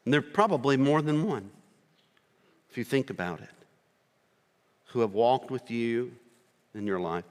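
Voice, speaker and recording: A man's voice: medium pitch, lively intonation, clear, resonant tone. Earnest preacher, rural American man, reverberant. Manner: earnest, slow phrases with pauses, rising on key words. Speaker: missionary preacher. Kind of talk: sermon. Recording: long reverb of a large hall.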